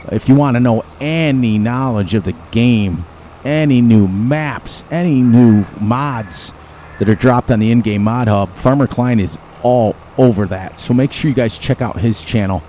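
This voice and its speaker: A man's voice: fairly low pitch, measured pace, warm tone, a little hiss or hum. A man hosting a farming game channel, chatting casually and rambling from one thing to the next with frequent chuckles. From United States. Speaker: gaming channel host. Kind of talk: casual gameplay commentary.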